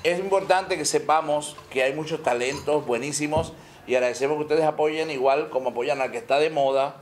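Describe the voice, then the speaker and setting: A man's voice: on the high side, fairly quick, slight background noise. A man in his forties, a salsa singer at an indoor press conference, talking effusively and telling jokes with frequent laughter. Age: 40s. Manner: boisterous, tells jokes, laughs often. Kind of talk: joking, effusive press talk. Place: indoor restaurant, seated at a table. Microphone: table microphones, later handheld mic.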